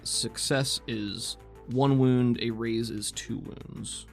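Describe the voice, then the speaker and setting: A game master narrating scenes and explaining rules in a measured, casual way. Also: mid-range voice, steady tone, faint music behind.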